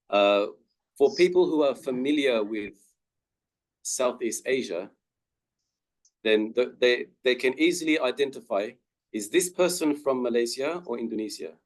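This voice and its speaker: A man from Australia with an Australian accent, giving a careful, clear talk as a webinar guest speaker. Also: mid-range voice. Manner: slow, careful, clear explanation.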